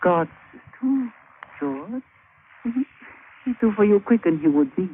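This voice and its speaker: Slow, high-voiced sly witch doctor. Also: animated, husky, full character voice. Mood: annoyed, menacing. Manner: clipped broken English, slow and sardonic. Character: sly, scheming male island witch doctor, menacingly amused. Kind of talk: old-time radio drama acting. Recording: tinny, faintly hissy old radio recording.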